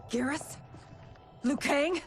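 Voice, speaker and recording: An actress playing a proud, earnest warrior queen in a video game, often hushed and wistful. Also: high-pitched, slow pace. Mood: startled, confused. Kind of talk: dramatic video game cutscene acting. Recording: music behind the voice.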